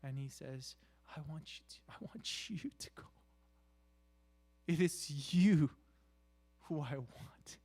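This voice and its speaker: A man preaching in a conversational style, halting and repeating himself, with rhetorical questions and emphatic phrases that drop into hushed asides. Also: on the high side, slightly rough. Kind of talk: conversational sermon.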